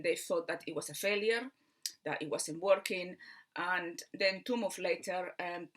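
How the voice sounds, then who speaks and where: A woman's voice: lowish voice, fairly bright tone. A woman from Barcelona with a Spanish accent, a mind coach giving an earnest spiritual teaching talk, explaining step by step with frequent fillers. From Spain.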